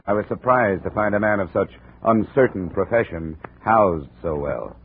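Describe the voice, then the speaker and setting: An actor playing a wry, hard-boiled investigator in a 1950s radio drama, dry, clipped and sardonic. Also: male voice, deep voice. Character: wry, hard-boiled insurance investigator narrating his cases. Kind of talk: 1950s radio detective narration and acting. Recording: narrow, noisy old radio recording.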